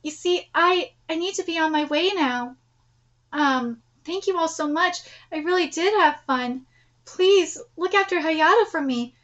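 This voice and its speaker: A gentle manga grandmother, voiced by a woman reader, humble and apologetic in a halting way. The voice is high and bright, with a slightly dull recording. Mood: sorrowful, grateful. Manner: pleading, halting, polite.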